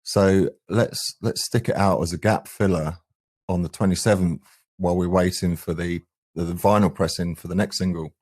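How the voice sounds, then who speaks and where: Low-voiced middle-aged English man. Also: fairly even intonation, normal speed, a little mellow. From England. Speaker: band frontman and guest. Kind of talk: casual interview chat.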